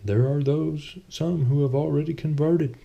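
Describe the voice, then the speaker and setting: A man's voice: on the high side, fairly even intonation, measured pace, gravelly, highs cut off, voice pushed up. A man voicing a pious, wealthy older man in a storybook reading, earnest and persuasive.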